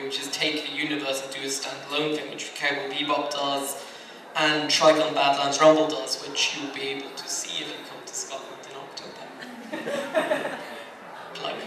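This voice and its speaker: A man speaking on a convention panel, chatty and rambling, with frequent asides and laughs. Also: a little high. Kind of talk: panel discussion. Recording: echoey hall, distant mic, background noise.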